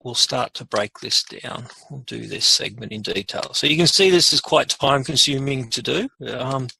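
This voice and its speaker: A man presenting an informal, unscripted software tutorial, muttering asides to himself as he works. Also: mid-range voice, normal speed, creaky, husky, no echo, low-quality recording. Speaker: webinar presenter.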